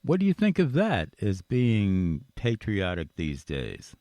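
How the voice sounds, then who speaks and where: Deep-voiced man hosting a talk show. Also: wildly swooping pitch, slow pace, dark tone, dry recording, clean studio sound. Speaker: radio talk show host. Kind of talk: conversational interview, rambling positive monologue.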